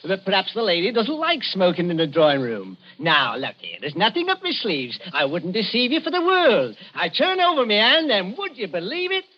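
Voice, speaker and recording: A cheeky Cockney stage magician rattles off breezy showman's patter. His voice is high and bounces up and down. It sounds like an old, dull-toned 1940s radio broadcast. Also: wildly swooping pitch, normal speed, hardly any pauses, heavily acted. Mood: showy. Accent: South London Cockney.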